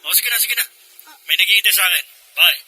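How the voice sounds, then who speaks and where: An actor playing an evasive, impatient elder brother on a phone call in a Filipino radio drama. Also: male voice, high voice, fast talker, pushed and bright, full character voice.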